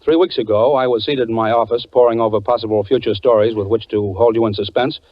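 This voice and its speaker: An actor playing a puzzled, businesslike radio producer in 1940s radio style, hesitant and matter-of-fact. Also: male voice, medium pitch, steady tone, fast talker, natural voice. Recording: muffled, noisy 1940s radio broadcast.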